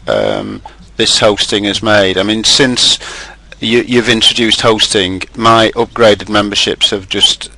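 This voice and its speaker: Loud, bright-voiced man on a group call. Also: fairly low pitch, dry recording, rough recording. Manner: loud, enthusiastic, upbeat.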